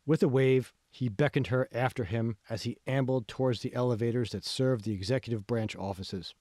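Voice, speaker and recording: A man reads a novel aloud in a scripted audiobook style, at a medium pitch. The recording is dry and close, with very little room sound. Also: steady tone, normal speed, warm tone, no echo. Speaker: author reading own audiobook. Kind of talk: scripted narration, audiobook reading.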